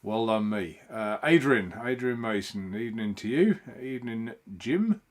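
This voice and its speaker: A man hosting a live stream from his home, talking in a loose, rambling way. His pitch rises and falls a lot as he greets viewers by name one after another. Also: lively intonation, measured pace. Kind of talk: casual live-stream chat.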